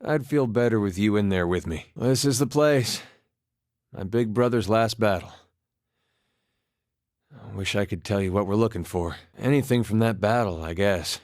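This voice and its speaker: Guy acting as a laid-back folksy farmer soldier in a game, lowish voice, really dry studio sound, wry and plainspoken. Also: normal speed, a little mellow, no room sound, natural voice. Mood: wry, earnest, then searching, casual. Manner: folksy, plainspoken, understated drawl. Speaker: video game voice actor. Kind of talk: performed game dialogue.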